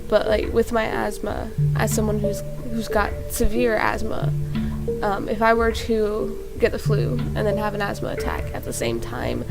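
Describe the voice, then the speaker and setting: Young woman, congested, sore throat, gravelly, rambling gaming chatter, loud music behind. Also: mid-range voice. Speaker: gaming YouTuber. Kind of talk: casual let's-play commentary.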